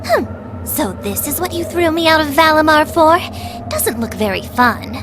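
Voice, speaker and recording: An actress playing an excitable young woman in a video game cutscene, in an expressive way. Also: high voice, a little slow, music in the background. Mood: teasing.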